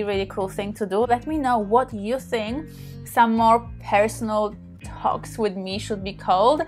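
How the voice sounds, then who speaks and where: A woman in her thirties from Poland, speaking English with a Polish accent as a native Polish speaker, chatting openly to camera from her bedroom and laughing often. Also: a little high. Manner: warm, open, laughs often, gestures while talking. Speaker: sex therapist, channel host. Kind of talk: casual to-camera talk. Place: small bedroom, indoors.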